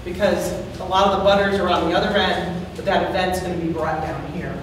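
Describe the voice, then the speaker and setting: Woman, loud voice, earnest and worried, distant mic, long hall reverb. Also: lowish voice, steady tone, a little fast. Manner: earnest, worried, repeats herself. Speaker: resident giving public comment. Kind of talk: public testimony at a board hearing. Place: large town meeting hall, far from the mic. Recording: echoey hall, distant mic, faint hiss.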